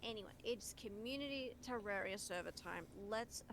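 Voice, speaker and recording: A woman chats casually as she hosts a gaming stream, playful and informal with the odd swear. Her pitch sits in the middle for a woman. There is clear noise in the recording. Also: moderate pace. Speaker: live streamer hosting a group voice chat.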